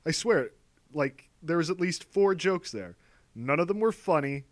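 A man's voice: a little high, lively intonation, measured pace. Dry, mocking male commentator. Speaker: video essay host. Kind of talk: sarcastic commentary.

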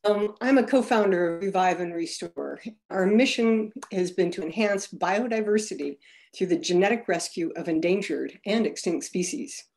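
A woman with a somewhat low voice speaks on a video-call panel. Her delivery is measured and polished, like a prepared short talk. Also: a little mellow. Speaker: conservation nonprofit co-founder. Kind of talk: webinar presentation.

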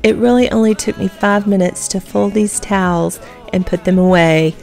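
A woman's voice: medium pitch, steady tone, moderate pace, vocal fry, darkish tone, soft background music. A woman, chatting through household tips as a home vlogger, encouraging and talking straight to her viewers. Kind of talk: casual voice-over tips.

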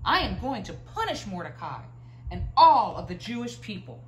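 A woman's voice: mid-range voice, big pitch swings, moderate pace, voice pushed up, close to an everyday voice. A woman voicing a proud, angry man in a children's storybook, indignant and emphatic, in an indoor room. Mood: furious. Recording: roomy echo, faint room noise.

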